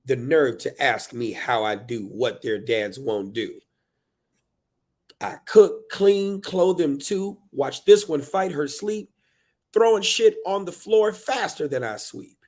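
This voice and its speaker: A man's voice: fairly low pitch, measured pace, hardly any pauses. A man voicing an exasperated, overwhelmed single mother of three in a dramatic, emphatic spoken-word book reading. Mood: indignant.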